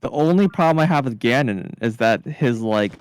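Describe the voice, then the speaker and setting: A man's voice: mid-range voice, animated, moderate pace, clean tone, dry recording, rough recording. A man chatting casually as a game streamer, rambling and hesitant, full of his own opinions. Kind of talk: casual chat over gameplay.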